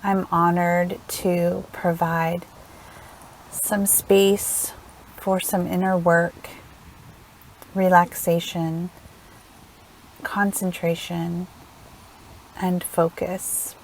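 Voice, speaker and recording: Slow, low-voiced woman guiding meditation. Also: level tone, slight background noise, low-quality recording. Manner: gentle, soothing, unhurried with long pauses. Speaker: meditation guide. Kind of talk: guided meditation, slow instructional narration.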